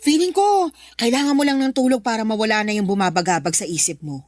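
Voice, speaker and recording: A woman's voice: fairly high pitch, fast, a little mellow, no room sound, clean studio sound, lightly acted. An actress with a Filipino accent playing a supportive, chatty and practical older female friend in a radio drama. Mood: concerned, tired.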